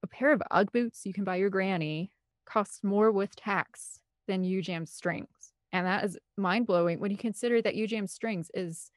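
A woman's voice: medium pitch, normal speed, no room sound, clean studio sound. A woman talking casually and at length as an interview guest, with a gushing, rambling enthusiasm. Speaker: interview guest, film composer and director.

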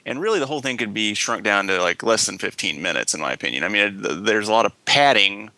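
A man's voice: on the low side, animated, fast talker, pushed and bright, no room sound, low-quality recording. A man co-hosting a podcast, speaking in a casual, conversational way. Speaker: podcast co-host. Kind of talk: casual discussion and announcements.

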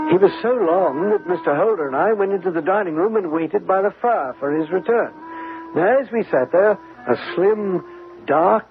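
An actor playing a gentlemanly storyteller, narrating a classic radio drama in a measured, literary manner. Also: male voice, high voice, vocal fry. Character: gentlemanly storyteller, the detective's companion. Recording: tinny, noisy old radio recording.

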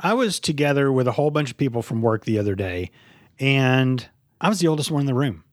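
A man's voice: mid-range voice, animated, brisk, dry recording, studio quality. A man in his fifties hosting a movie podcast, chatting casually and swearing freely. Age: 50s. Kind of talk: casual, profane podcast chat.

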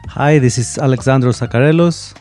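A man's voice: medium pitch, fairly quick, darkish tone, soft background music. A man with a Greek accent introducing himself casually as a musician guest. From Greece. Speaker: orchestral violinist, podcast guest.

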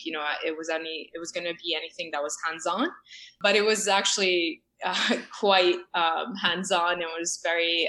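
A woman's voice: lowish voice, a bit bright, low-quality recording. A young woman who grew up in Syria, talking as a guest in an interview, with a rambling manner that often corrects itself. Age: young adult. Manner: rambling, self-correcting storyteller. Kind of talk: conversational interview.

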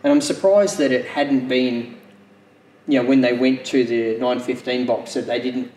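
A man with a mid-pitched voice hosting a podcast. He chats easily and steers with questions. His voice comes through in a roomy, echoey space. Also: fairly even intonation, normal speed, darkish tone. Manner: chatty, leading questions. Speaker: podcast host. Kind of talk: casual podcast interview.

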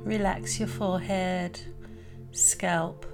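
A woman leading a guided meditation as host, calm and soothing, in deliberate phrases with long pauses. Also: lowish voice, vocal fry, music in the background.

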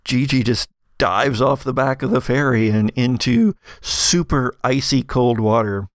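A man's voice: mid-range voice, measured pace, no room sound, studio quality. A man running a tabletop game as game master, narrating the scene in a conversational, improvised way.

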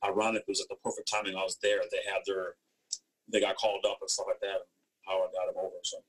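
A man's voice: sits low, little pitch movement, fast, low-quality recording. A young man from East Africa talking casually as an interview guest, rambling and slangy, often checking that he's understood. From East Africa, born in Ethiopia. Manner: rambling, slangy, frequent "you know what I mean". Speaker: interview guest, former athlete. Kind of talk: casual conversational storytelling.

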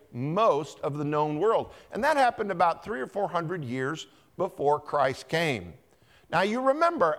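A man, a pastor, teaching a Bible lesson in church, quizzing the congregation and calling on people by name. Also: on the high side. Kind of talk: interactive Bible teaching with quiz questions.

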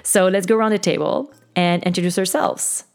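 A woman hosts a podcast panel with a notably low voice. She keeps the talk moving, passing the floor along with friendly questions. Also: fairly quick, creaky. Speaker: podcast host. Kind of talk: moderating a panel.

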